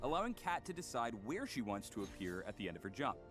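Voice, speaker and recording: A man's voice: medium pitch, big pitch swings, fast, over music. A man narrating a scripted promotional explainer for a game update.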